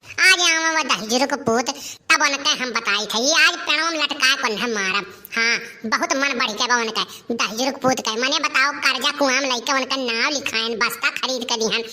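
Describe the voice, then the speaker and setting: A woman's voice: high-pitched, moderate pace, sharp tone. A woman voicing a hot-tempered, overworked village mother in a comic cartoon, ranting and cursing in an Awadhi accent. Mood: furious.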